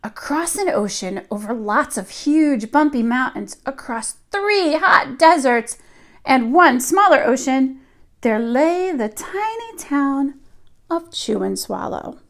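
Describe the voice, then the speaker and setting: A woman reading a picture book aloud like a teacher at storytime, expressive and deliberate for young children. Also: sits high.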